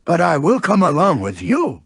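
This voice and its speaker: An exuberant cartoon tiger for a children's storybook. He is friendly and boastful, bouncing through his lines with very lively intonation. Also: on the high side, moderate pace, gravelly, background noise, voice pushed up, heavily acted. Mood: cheerful, eager.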